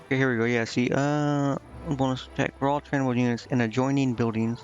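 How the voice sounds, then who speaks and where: A man with an average-pitched voice plays a game on stream and talks himself through it. His delivery is casual and muttered, like someone working out a puzzle aloud. The sound is a little dull, with music softly playing underneath. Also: fairly even intonation, fairly quick. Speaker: livestreamer playing a strategy role-playing game. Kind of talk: casual gaming stream commentary, thinking aloud.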